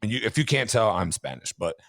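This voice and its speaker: A man with a very low voice chats casually as a podcast panelist. He is blunt and offhand, swearing freely, in a dry, close recording. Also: very animated, quick pace, vocal fry, a bit bright, dry recording. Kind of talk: casual chat.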